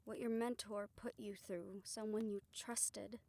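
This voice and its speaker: A woman playing a remorseful scientist in an intimate audio drama, speaking softly and haltingly as if confessing. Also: mid-range voice, steady tone, vocal fry. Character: remorseful female scientist haunted by her past experiments. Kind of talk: soft, intimate audio roleplay acting.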